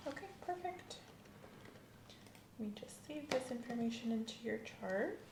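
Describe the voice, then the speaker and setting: A woman's voice: fairly high pitch, animated, moderate pace, echoey room, noisy background. A woman doing an ASMR medical exam roleplay as an examiner, methodical and professional in the way she questions a patient.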